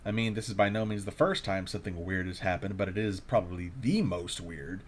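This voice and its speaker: A low male voice gives a shaken, confessional monologue as a delivery courier, acted out by a man in a horror audio drama. The telling is dramatic and eerie, like a personal account. Clear noise and ambience sit behind the voice. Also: a little fast, natural voice. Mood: resigned, unsettled.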